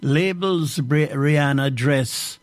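Male radio host, casual chat, stop-start delivery, gets animated, dry sound. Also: fairly high pitch, no room sound. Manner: halting, many restarts, animated.

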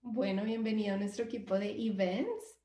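A woman's voice: medium pitch, fairly even intonation, normal speed, mellow, reverberant. A young woman with a Bogotá Colombian accent, co-president of a student club, introducing teammates cheerfully. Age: young adult.

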